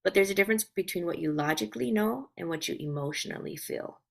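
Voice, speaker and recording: A woman's voice: fairly low pitch, fast, gravelly. A woman talking as a life coach guest on a podcast, explaining ideas in a casual, affirming, conversational way.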